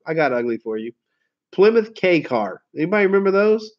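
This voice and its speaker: A man hosting a casual livestream. He tells rambling stories, laughs and swears easily, and sounds hoarse from a head cold. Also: high voice, big pitch swings, a little fast. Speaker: car sales livestream host. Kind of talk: casual livestream chat with viewers. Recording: dull lo-fi stream audio.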